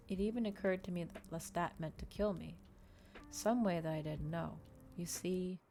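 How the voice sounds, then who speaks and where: A woman streamer reading a story aloud in a soft, gentle, unhurried ASMR style, close to the microphone. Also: lowish voice, a little hiss or hum.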